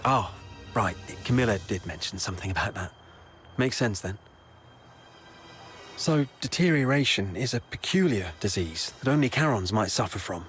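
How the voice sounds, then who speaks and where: Anxious young town guard in a video game, music behind. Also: male voice, fairly low pitch, expressive, normal speed, fairly bright tone. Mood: earnest, uneasy. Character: young male town escort guard, anxious, chatty, world-weary but soft-hearted.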